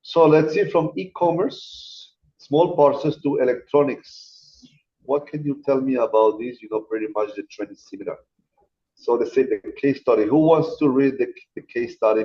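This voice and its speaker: A man giving a guest lecture over a video call in a relaxed, chatty teaching manner. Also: medium pitch, normal speed, vocal fry, lo-fi sound. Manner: casual, chatty teaching, addresses students as guys. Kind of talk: informal online lecture.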